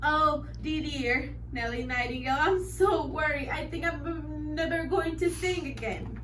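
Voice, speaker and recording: A woman's voice: sits high, lively intonation, slow, bright, forceful tone, voice pushed up, exaggerated voice. A woman doing a fretful stammering young nightingale. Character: anxious young male nightingale bird. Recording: roomy, distant, faint noise.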